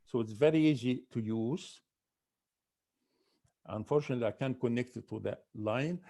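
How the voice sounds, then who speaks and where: A man's voice: medium pitch, moderate pace, mellow. A man, an ENT doctor, giving a medical webinar lecture, listing symptoms one by one with careful restarts.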